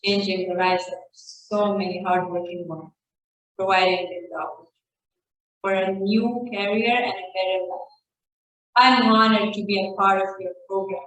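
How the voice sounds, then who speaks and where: A woman's voice: medium pitch, a bit bright, a little hiss or hum. Woman speaking from far in an echoey room. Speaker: program graduate. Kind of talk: reading a prepared speech. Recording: echoey room, distant mic.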